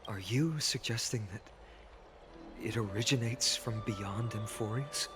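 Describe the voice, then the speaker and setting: A man playing an earnest young heroic swordsman in an anime-style game, smooth, polite and sincere in manner. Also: lowish voice, a little slow, music in the background, close to an everyday voice. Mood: grave, determined.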